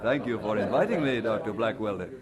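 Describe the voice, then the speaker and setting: An actor playing an earnest, modest Scottish minister in an audio drama, with a husky voice and expressive delivery. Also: male voice, on the low side, fairly quick, a loud crowd, close to an everyday voice, poor audio. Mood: courteous, modest.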